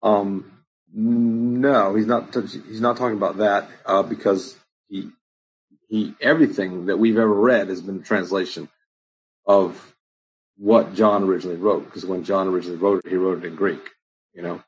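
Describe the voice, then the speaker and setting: Man pastor, husky voice, loose conversational Bible teaching, lots of ums. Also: sits low, fairly even intonation, moderate pace, rough recording. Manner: conversational, many ums and restarts. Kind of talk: informal Bible study answering questions.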